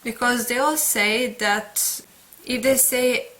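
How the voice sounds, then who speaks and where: A young woman with a Serbian accent, a book vlogger talking casually through a tag video, unhurried and pausing often with fillers. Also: a little high, steady tone, a bit bright, low-quality recording. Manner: unhurried, pauses with many "uh" fillers.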